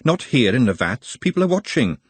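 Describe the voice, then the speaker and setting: A man voicing a sharp, worried young woman who is wary and quick to object. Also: mid-range voice, big pitch swings, breathy edge, no echo, pitched above the performer's own voice.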